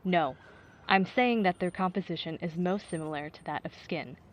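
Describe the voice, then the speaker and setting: An actress playing a serious, composed police detective giving measured, official answers in an audio drama news interview. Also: female voice, on the low side, lightly acted. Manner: measured, official, matter-of-fact.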